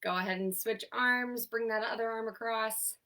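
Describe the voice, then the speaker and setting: A woman's voice: fairly high pitch, fairly even intonation, moderate pace, pushed and bright, far from the mic. A young woman occupational therapist with a congested, stuffy voice, cheerfully leading a seated exercise class and counting down each hold. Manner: upbeat, encouraging, counts down holds. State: congested, stuffy from sinus trouble. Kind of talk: instructing an online exercise class.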